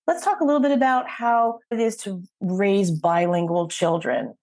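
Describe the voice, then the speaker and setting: Female podcast host, average pitch, conversational, affirming interviewer who recaps and prompts. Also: normal speed, a little mellow. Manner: affirming, sums up before asking questions.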